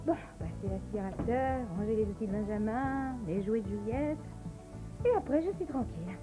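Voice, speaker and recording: Casting: woman playing harried cartoon mother, high and lively, flustered, polite but exasperated, music underneath. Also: moderate pace, lightly acted. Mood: weary. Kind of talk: French animated series dubbing.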